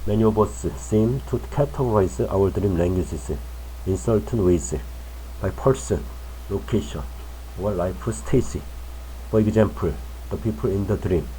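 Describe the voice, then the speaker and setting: A man reading a magazine article aloud for English learners in a careful, measured way. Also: low voice, dark tone, slight background noise, rough recording. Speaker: English news reader.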